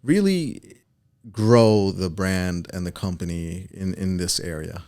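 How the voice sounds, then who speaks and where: A man speaking casually as an interview guest, in a halting way, often repeating and correcting himself. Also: deep voice, a little mellow. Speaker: podcast guest, title company executive. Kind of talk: casual business interview chat.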